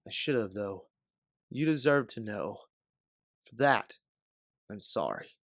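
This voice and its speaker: Man acting weary, gentle older bounty hunter mentor, dry. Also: on the low side, expressive, slow, no echo. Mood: regretful. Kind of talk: dramatic audio fiction acting.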